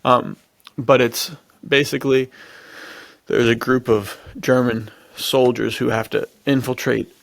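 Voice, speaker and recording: A man's voice: mid-range voice, steady tone, a little slow, creaky, no echo. A man co-hosting a podcast, chatting casually and enthusiastically about stories in a slangy way. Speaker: podcast co-host. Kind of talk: casual film and book discussion.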